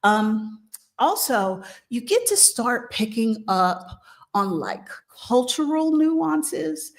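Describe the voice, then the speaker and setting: A woman hosting a channel about moving abroad, talking casually and thoughtfully, with frequent pauses, ums and restarts. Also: on the high side, very animated. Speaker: host of a move-abroad channel. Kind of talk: casual advice talk. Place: living in Mexico.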